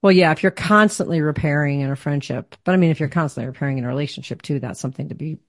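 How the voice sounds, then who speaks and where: A woman's voice: deep voice, very fast, dry recording, clean studio sound. A woman hosting a podcast, asking probing questions and affirming in an assertive conversational way. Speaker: podcast host. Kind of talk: conversational interview chat.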